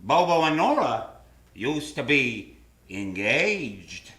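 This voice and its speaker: A man's voice: mid-range voice, sing-song, slow pace, heavy background noise. An actor playing a brash, wisecracking male circus carny with slangy, theatrical delivery in an old-time radio drama. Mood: brash, teasing. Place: theater stage. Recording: roomy live stage pickup.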